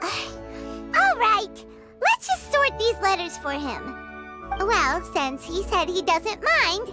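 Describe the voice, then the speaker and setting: A woman's voice: very high voice, sing-song, slow pace, over music, full character voice. A woman voicing a small, childlike female fairy companion in a video game, bubbly, chatty and curious. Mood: puzzled, chatty. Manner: bubbly, exclaiming, speaks of self in third person. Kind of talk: video game character dialogue.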